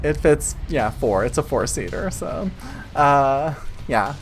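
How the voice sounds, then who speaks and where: Female game master, low-toned, halting improvised narration, casual, music behind. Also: animated. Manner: halting, conversational. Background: soft music.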